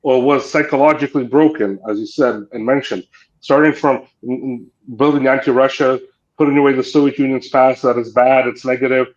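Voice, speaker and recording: Panel guest, man, medium pitch, insistent list-maker, lo-fi remote line. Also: steady tone, brisk. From Ukraine. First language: Ukrainian. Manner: insistent, piles up lists of points. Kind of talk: political panel discussion.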